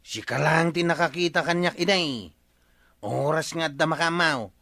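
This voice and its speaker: A man with an Ilocano accent playing a slow, drawling village watch chief in a comic radio drama. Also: on the high side, pushed and bright, dry recording.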